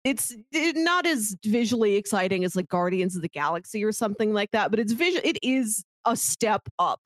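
A woman co-hosting a podcast in a casual film discussion, chatty and opinionated in an animated way. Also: mid-range voice, fairly quick, no room sound, clean studio sound. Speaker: podcast co-host.

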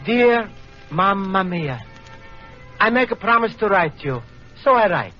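An actor playing a humble, earnest young immigrant with an Italian accent and broken English in an old radio comedy. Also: male voice, high-pitched, big pitch swings, a little slow, lo-fi sound. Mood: fond, earnest. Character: humble, eager young Italian immigrant man, sweet-natured. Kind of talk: 1940s radio comedy acting. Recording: dulled old radio broadcast, music behind.

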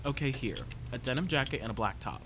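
A man's voice: mid-range voice, lively intonation, quick pace, background noise, lightly acted. A man playing a sassy, playful teenage boy best friend with theatrical, teasing delivery in a podcast drama. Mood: fussy, playful. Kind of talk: fiction podcast acting.

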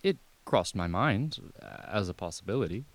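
A man's voice: on the low side, expressive, normal speed, dry recording. A man playing an unflappable, discreet valet in a radio drama, deferential and formally understated. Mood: discreet, composed.

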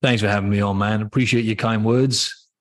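A man's voice: fairly low pitch, fairly even intonation, brisk. A man from Wales with a mixed Welsh and Australian accent, a guest chatting casually and rambling a little with ums and restarts. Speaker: guest, fitness coach. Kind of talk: casual interview chat.

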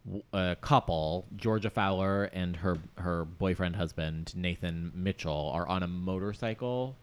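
A man hosting a podcast, chatting casually about pop culture and telling things in an animated, rambling way. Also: deep voice, a little slow, clear, resonant tone. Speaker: podcast host.

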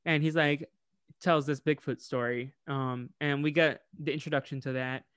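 A man co-hosting a podcast, recapping a cartoon episode in casual chat, animated and laughing often. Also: fairly high pitch, moderate pace, clear, resonant tone, dry recording, studio quality. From United States. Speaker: podcast co-host.

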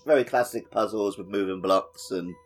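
A man's voice: on the low side, normal speed, breathy edge. A man co-hosting a podcast, chatting casually about a video game. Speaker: podcast host. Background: background music.

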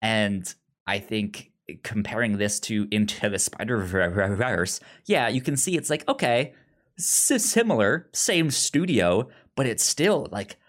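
Stuttering man with a lowish voice. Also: lively intonation, fairly bright tone. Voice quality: pronounced stutter, repeating sounds and words. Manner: halting, enthusiastic. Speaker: podcast co-host reviewing films. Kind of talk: casual film discussion.

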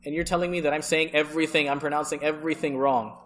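A man's voice: fairly high pitch, fairly even intonation, fast, fairly bright tone. Earnest American man telling his story. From United States. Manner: earnest and emphatic, often checking in with "okay" and "right". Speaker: Islamic scholar guest. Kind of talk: personal life story in a podcast interview.

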